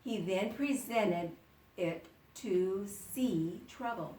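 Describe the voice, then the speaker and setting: A woman's voice: mid-range voice, very slow, darkish tone. A woman reads a children's story aloud in a roomy, echoing space, a little away from the mic. She takes her time and performs it dramatically, stopping to explain words and ask the young listeners questions. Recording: roomy echo, distant microphone.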